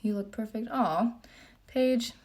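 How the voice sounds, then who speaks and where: A young woman from Japan chatting casually through a livestream Q&A, reading comments aloud while doing her makeup, relaxed and full of fillers. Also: fairly high pitch, slow. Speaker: beauty vlogger.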